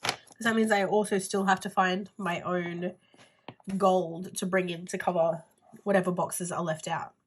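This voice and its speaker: A woman's voice: lowish voice, little pitch movement, unhurried, slightly rough. A woman with a stuffy nose and a nasal voice, chatting casually through a planner haul video while she handles her items. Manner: chatty, rambling with "like" fillers. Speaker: planner vlogger.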